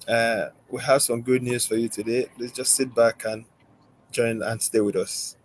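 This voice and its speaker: Guy, flat monotone, hesitant uhs, faint background hum. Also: medium pitch, measured pace, poor audio. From Nigeria.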